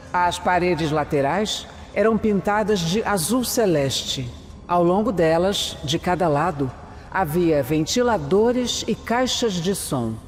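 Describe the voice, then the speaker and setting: A man with a Brazilian accent playing a methodical detective who narrates an audio drama. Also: high voice. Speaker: narrator and protagonist. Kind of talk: scripted first-person narration in an audio drama. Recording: long reverb, music underneath.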